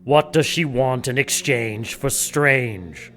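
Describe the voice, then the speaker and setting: A man's voice: mid-range voice, takes it slowly, a bit bright, faint music behind. A menacing cruel churchman. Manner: threatening, sneering. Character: menacing cruel male church leader, cold. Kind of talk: audio drama acting.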